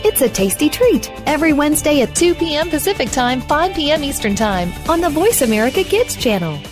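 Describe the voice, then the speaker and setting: This is a woman reading a scripted promo for a radio show. Her voice is high, and her pitch rises and falls a lot. She sounds upbeat and inviting, and music plays clearly behind her. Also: very animated, fairly quick. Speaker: promo announcer.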